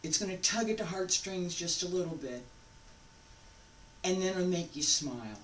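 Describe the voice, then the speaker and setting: A woman in her sixties giving an informal invitation to camera, speaking deliberately in short phrases with pauses, recorded at home on a distant microphone. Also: lowish voice, lively intonation, slight background noise. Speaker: founder of a radio drama show. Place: carpeted room at home, indoors. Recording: echoey room, distant microphone.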